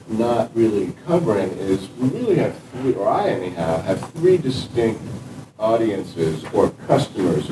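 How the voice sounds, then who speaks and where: A man who teaches, making points from the audience in a workshop discussion with a deliberate, emphatic manner. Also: mid-range voice. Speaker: audience member, instructor. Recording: roomy, distant, noisy.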